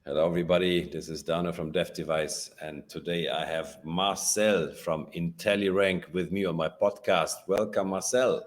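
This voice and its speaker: Deep-voiced male podcast host. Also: fairly even intonation, normal speed, few pauses, soft background music. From Ukraine. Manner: friendly, repeats words and restarts. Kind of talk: casual interview.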